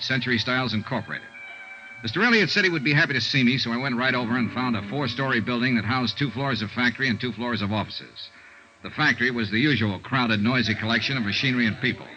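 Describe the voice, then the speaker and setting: An actor playing a wry, breezy American insurance investigator in a 1950s radio drama, with a brisk, clipped delivery. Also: male voice, medium pitch, bright, forceful tone. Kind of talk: 1950s radio detective drama, first-person narration. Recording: dull old 1950s radio recording.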